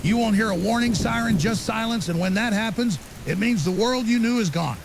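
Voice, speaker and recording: A man's voice: high voice, a little fast, vocal fry, low-quality recording. A man playing a grim, urgent conspiracy theorist as a guest on an apocalypse podcast drama, emphatic and ominous in clipped, certain statements. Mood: grim, foreboding. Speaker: interview guest. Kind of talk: fictional apocalypse podcast interview. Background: rain and faint hiss.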